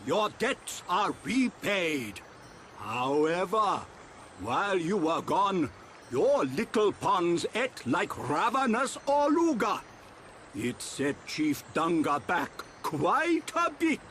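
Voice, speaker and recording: Pompous, high-pitched old creature chief. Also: male voice, very animated, fairly bright tone, slight background noise, exaggerated voice. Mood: reassuring, wry. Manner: grandiose, formal, deliberate proclamations. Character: elderly male furry village chief, pompous, wily, kindly. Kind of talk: theatrical video game acting.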